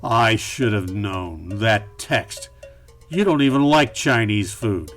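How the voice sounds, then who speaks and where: An actor playing a melodramatic, wisecracking private eye hero who is easily flustered, in a campy noir radio satire. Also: male voice, on the low side, animated, takes it slowly, fairly bright tone, faint music behind, full character voice. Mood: defiant.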